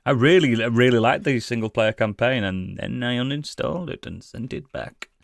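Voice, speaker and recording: A man's voice: medium pitch, moderate pace, gravelly, no echo. A British man chatting casually as a gaming podcast co-host, blunt, sweary and opinionated.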